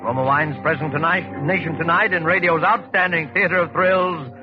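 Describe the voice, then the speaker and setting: A man's voice: on the high side, steady tone, normal speed. A man announcing a show in a formal, dramatic, proclaiming 1940s radio style. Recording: dull vintage radio recording with music.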